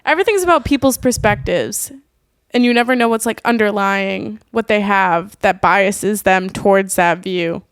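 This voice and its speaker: A woman's voice: medium pitch, moderate pace, smooth, no echo, studio quality. A young woman from Boston co-hosting a podcast, reflective and questioning in casual chat. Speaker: podcast co-host.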